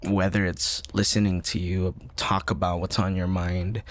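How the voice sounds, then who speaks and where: A man playing an affectionate, playful university student best friend in an intimate roleplay, talking softly and a little hesitantly in a husky voice. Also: low voice, little pitch movement, unhurried, no echo. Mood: tender, sincere. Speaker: audio roleplay voice actor. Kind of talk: intimate boyfriend ASMR roleplay.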